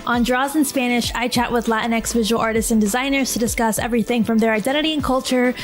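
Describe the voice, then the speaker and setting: Female podcast host and interviewer, fairly high voice, chatty and informal delivery. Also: fairly even intonation, fast, faint music behind. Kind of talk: scripted podcast intro and casual interview chat.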